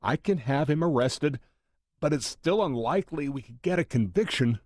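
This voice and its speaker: An actor playing a hesitant, placating police chief in an audio drama. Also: male voice, fairly high pitch, animated, normal speed, a little mellow, no echo, studio quality. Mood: uneasy. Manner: placating, uneasy. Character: hesitant, compliant male police chief under the mayor's thumb.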